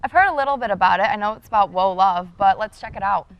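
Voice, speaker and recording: A teenage girl co-anchoring a school news broadcast, bright and quick. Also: mid-range voice, smooth, muffled recording.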